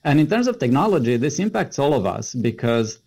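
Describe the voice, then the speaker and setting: A man's voice: mid-range voice, big pitch swings, brisk, vocal fry, a little mellow, breathy edge. A middle-aged man with a Romanian accent, explaining things conversationally as an interview guest. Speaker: interview guest, computer science educator.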